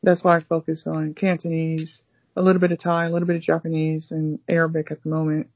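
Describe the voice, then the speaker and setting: A woman with a lower voice chats casually, rambling and restarting with plenty of fillers. The recording is dry and muffled. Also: completely flat delivery, fast, no room sound. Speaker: language learner guest. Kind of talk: casual conversation.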